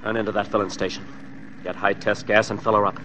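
A hard, forceful tough guy acted by a man in an old radio thriller, barking orders in rough streetwise slang. He is suspicious and menacing, and the vintage recording is clearly noisy. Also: lowish voice, little pitch movement, quick pace, natural voice. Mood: snarling, suspicious.

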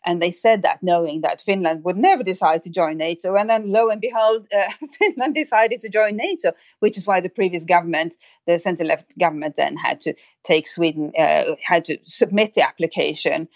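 A woman speaking as an expert guest in an interview, explaining things in an articulate, measured way. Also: on the low side, no room sound. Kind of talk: spoken analysis in an interview.

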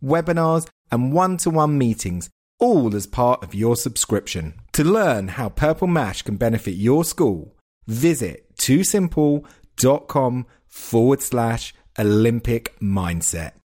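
A woman's voice: rumbling low, big pitch swings, a little slow, a little mellow. A British woman reading a scripted advert in an upbeat, polished voice, running through lists of items. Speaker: ad announcer.

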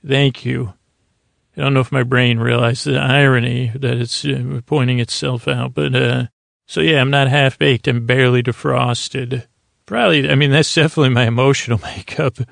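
A middle-aged man with a creaky voice hosts a sleep podcast, giving a gentle, soothing bedtime monologue that meanders, halts and interrupts itself. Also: mid-range voice, fairly even intonation, moderate pace, no room sound, clean studio sound.

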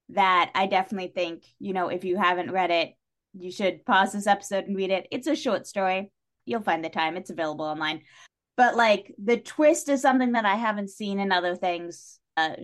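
A woman talking casually as a podcast guest, opinionated and effusive, with emphatic delivery. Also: mid-range voice.

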